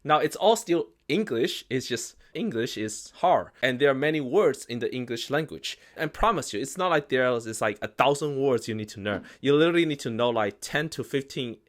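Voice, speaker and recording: Founder type, Chinese native speaker, animated and emphatic, mid-pitched man. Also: moderate pace, hardly any pauses. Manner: animated, emphatic, acts out quoted reactions. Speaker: investment company founder. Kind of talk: casual explainer talk.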